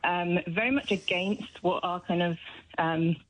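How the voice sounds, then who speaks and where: A British woman calling a radio show, explaining her view in a measured, conversational way. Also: fairly low pitch, fairly even intonation, dry recording. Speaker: phone-in caller, vegan activist. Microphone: phone. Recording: thin, narrow telephone line.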